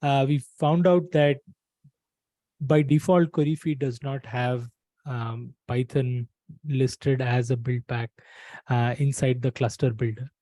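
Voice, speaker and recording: A man in his thirties explaining an issue on a work video call in a halting, measured way. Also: medium pitch, fairly even intonation, mellow, no echo, poor audio. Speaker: open source community member presenting an issue. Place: bedroom or small room, video call.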